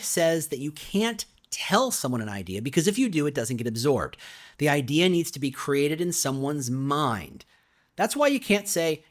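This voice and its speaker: A man narrates a scripted explainer in a slightly high voice with lively, swinging intonation. He sounds conversational and emphatic, talking straight to the listener and leaning into rhetorical questions. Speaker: author reading own business audiobook.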